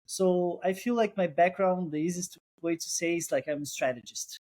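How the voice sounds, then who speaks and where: A man's voice: high-pitched, moderate pace, a little mellow. Brazilian-accented man, thoughtful guest. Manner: thoughtful, restarts phrases, non-native phrasing. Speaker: guest, co-founder. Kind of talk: casual interview.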